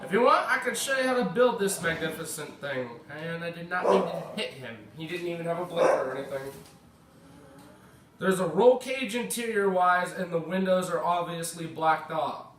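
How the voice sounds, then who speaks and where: A man's voice: on the high side, expressive, normal speed, a bit bright, slight background noise. An American man, a bit sick, giving rambling casual commentary over gameplay and correcting himself as he goes. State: slightly sick. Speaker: gaming video creator. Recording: echoey room, mic at a distance, faint music.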